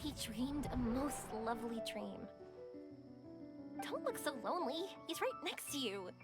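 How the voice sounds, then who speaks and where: A woman voicing a cheerful, warm-hearted young female adventurer in a video game, expressive and encouraging. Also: sits high, unhurried, over loud music, heavily acted. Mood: gently consoling.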